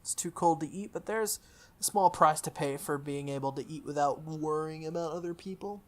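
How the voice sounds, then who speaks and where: A woman reading a visual novel aloud in first person, with expressive delivery, occasional stumbles and her own asides. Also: sits low, normal speed. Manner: expressive, self-correcting reading.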